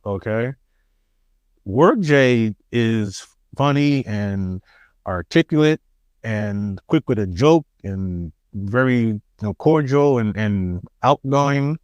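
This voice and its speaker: A man with a New York accent, co-hosting a podcast in casual chat, soft-spoken and halting, often restarting his sentences with a self-deprecating air. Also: lowish voice, expressive, no room sound. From Queens, New York. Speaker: podcast co-host.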